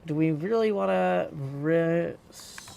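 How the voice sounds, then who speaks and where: A man with a somewhat high voice gives casual commentary as a game streamer. He thinks aloud, hesitating as he goes. There is faint noise behind him. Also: slow, gravelly, darkish tone. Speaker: livestream host.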